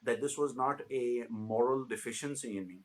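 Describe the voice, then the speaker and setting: A man's voice: mid-range voice, moderate pace, rough recording. A man in his late thirties from Pakistan, an interview guest speaking thoughtfully with many fillers and restarts. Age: 30s. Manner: thoughtful, many fillers and restarts, slips into Hindi-Urdu phrases. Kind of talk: reflective conversational interview.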